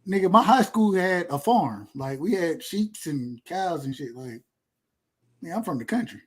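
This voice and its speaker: Higher-pitched Southern man, emphatic and slangy. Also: lively intonation, measured pace, slightly rough. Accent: Southern US, Georgia. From rural Georgia, USA. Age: 30s. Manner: emphatic, repeats phrases, slangy and profane. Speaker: podcast speaker. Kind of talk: casual chat.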